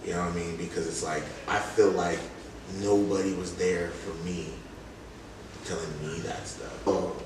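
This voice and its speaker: Male interview guest, lower register, emphatic and slangy, casual delivery, strongly reverberant room with distant mic. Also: normal speed. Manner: animated, emphatic, slangy storytelling. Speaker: interview guest, music artist. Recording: very echoey room, distant mic, faint noise.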